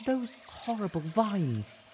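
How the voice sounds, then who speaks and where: A man voicing a tender, grief-stricken female unicorn who speaks slowly in a soft whimper, in a story reading. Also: high voice, big pitch swings, slight background noise, pitched above the performer's own voice, full character voice.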